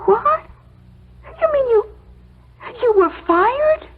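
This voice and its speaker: A high-voiced anxious, devoted American woman, earnest and emotional. It is 1970s radio drama, with a tinny band and clear noise over it. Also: animated, slow pace, full character voice. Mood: dismayed, concerned. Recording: tinny, noisy old radio broadcast.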